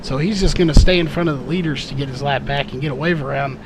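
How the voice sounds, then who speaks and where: High-voiced man calling a race. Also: steady tone, fast talker, noisy background. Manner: many fillers and self-corrections. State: slightly losing his voice. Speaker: play-by-play commentator. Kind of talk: live race commentary.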